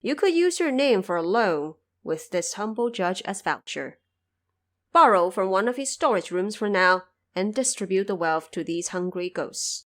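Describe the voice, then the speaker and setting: A woman's voice: mid-range voice, animated, lightly acted. A woman playing a solemn male judge of the underworld in an audio drama, courteous, formal and commanding. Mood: solemn, advising. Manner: formal, measured, grave.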